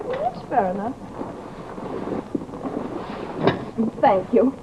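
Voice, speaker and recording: A woman's voice: a little high, wildly swooping pitch, normal speed. An actress playing a troubled, genteel young lady in old-time radio drama, speaking in a polite, earnest, imploring way. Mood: composed. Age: young adult. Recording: muffled, noisy old radio recording.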